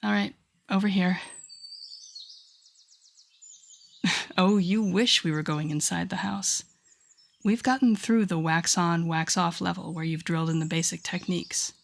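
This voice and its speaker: A woman's voice: lowish voice, moderate pace, creaky. A woman playing a wry, teasing telepath villain who mentors a rookie hero, in an intimate, conversational audio roleplay. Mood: teasing, instructive. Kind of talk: intimate second-person audio roleplay acting.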